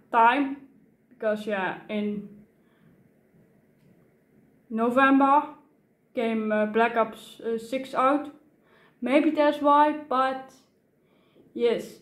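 A woman's voice: a little high, roomy sound. A Dutch woman in her twenties, chatting casually as a gaming streamer from her gaming room and swearing a lot at other players. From Netherlands. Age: 20s. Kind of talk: casual gaming vlog and live commentary. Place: gaming room, seated in a gaming chair.

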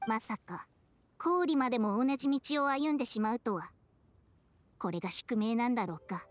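A woman's voice: fairly high pitch, lively intonation, moderate pace, natural voice. A woman voicing a wise, frank female snake companion in an anime game, with a grave, knowing manner. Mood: grave, brooding. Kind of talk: Japanese anime game voice acting.

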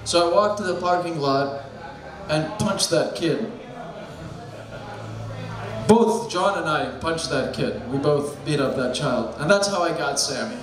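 A young man doing a deadpan stand-up set with dark jokes on a bar stage, talking into a handheld mic. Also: a little high, unhurried. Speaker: stand-up comedian. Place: small bar stage with a live crowd. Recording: echoey room with loud crowd.

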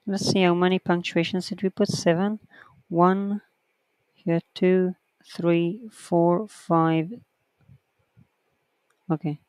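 Writing teacher, unhurried and methodical, smooth clear voice, dry close sound. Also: mid-range voice, little pitch movement, a little mellow, no room sound, poor audio. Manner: reads sentences out slowly and counts corrections aloud. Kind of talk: slow online lesson, reading a textbook aloud.

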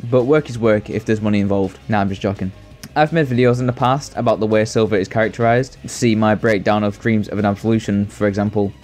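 A man's voice: medium pitch, darkish tone. A young man giving scripted video commentary with joking asides, sarcastic, sweary and mock-exasperated. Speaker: video essay host. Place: indoors, voice-over. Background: background music.

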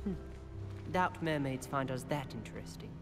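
A man plays an aristocratic wizard schoolboy in a video game. He is courteous and dryly wry, at a middling pitch, with faint music underneath. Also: animated, moderate pace, natural voice. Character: polite, dry teenage male aristocratic wizard student. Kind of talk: video game cutscene acting.

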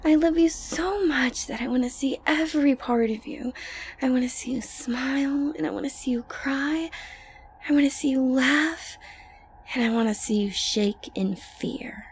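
A woman playing an obsessive, dominant yandere girlfriend in an ASMR roleplay, creepily tender and condescendingly sweet, breathy and often close to a whisper. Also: sits high, a little hiss or hum. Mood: obsessive, menacing. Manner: slow, coaxing, condescendingly sweet. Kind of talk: ASMR roleplay monologue to the listener.

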